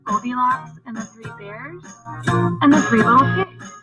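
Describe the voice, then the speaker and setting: High-voiced female narrator over loud music. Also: unhurried. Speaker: narrator of an educational video. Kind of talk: scripted narration for children. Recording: loud keyboard music under the voice. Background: instrumental music.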